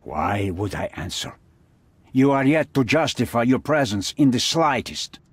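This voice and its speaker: A middle-aged male crime boss in a game drama, haughty and menacing. His voice is husky and moves widely in pitch, formal and imperious. It sounds close and dry. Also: sing-song, unhurried, no room sound. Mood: irritated, dismissive. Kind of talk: dramatic video game acting.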